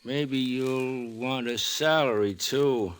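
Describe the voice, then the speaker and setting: A man acts out a stingy older father from a small town in a radio play. His voice is clear and mid-pitched, with a folksy American accent and a blunt, scornful, grudging delivery. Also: takes it slowly, lightly acted. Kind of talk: old-time radio drama acting.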